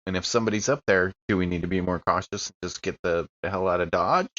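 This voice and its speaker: A man's voice: low-pitched, quick pace, fairly bright tone, dull audio. A man playing a tabletop roleplaying game, talking casually as his character.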